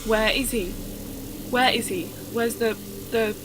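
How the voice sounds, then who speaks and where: A woman playing a loyal, defiant but shaken young companion in an audio drama, earnest and halting. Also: fairly high pitch, fairly even intonation, noisy background, natural voice. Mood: anxious.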